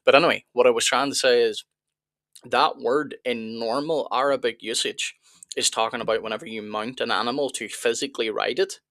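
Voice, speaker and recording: A man's voice: medium pitch, steady tone, brisk, fairly bright tone, no echo. A man hosting a live reaction stream, arguing his points in casual chat with frequent restarts. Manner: argumentative, restarts and repeats words. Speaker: apologetics channel host.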